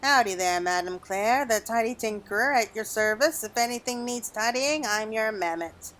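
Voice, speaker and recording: A woman's voice: fairly high pitch, animated, moderate pace, a bit bright. A woman voicing a cheery, folksy little helper automaton in a video game, eager to help. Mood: cheery, helpful. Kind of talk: video game dialogue read aloud. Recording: background music under the voice.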